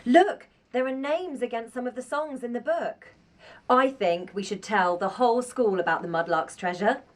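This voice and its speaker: A woman acting in a radio play as a kindly, brisk primary school music teacher who explains things in a teacherly way. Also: on the high side, recorded from a distance, lightly acted. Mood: kindly, explanatory. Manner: clear explanatory teacherly delivery.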